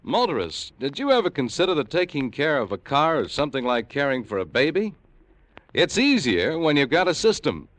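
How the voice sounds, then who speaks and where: A man's voice: a little high, big pitch swings, brisk, a bit bright, no room sound. An American man reading a scripted old-time radio commercial as an announcer.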